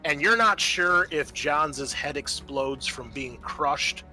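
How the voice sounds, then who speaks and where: Male game master for horror tabletop play: unhurried, bright, halting descriptive narration. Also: on the high side, soft background music, low-quality recording.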